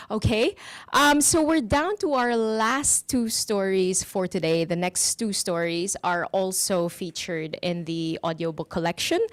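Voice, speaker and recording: A woman's voice: on the high side, no echo. Chatty woman hosting an event. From Philippines. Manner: chatty, laughs often, many fillers. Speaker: event host. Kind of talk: casual live introductions.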